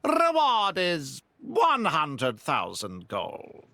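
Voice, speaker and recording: A man's voice: fairly high pitch, huge pitch swings, music in the background, exaggerated voice. An actor voicing a pompous creature chairman who speaks in broken, grandiose phrases. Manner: broken grammar, grandiose, slow. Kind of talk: video game voice acting.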